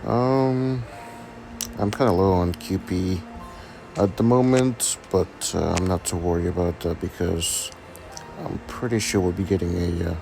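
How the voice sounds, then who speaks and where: A man with a raspy voice from being sick, hesitantly walking through his game account in a casual screen-recording chat. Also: low voice. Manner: hesitant, many ums and restarts. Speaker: gaming channel host.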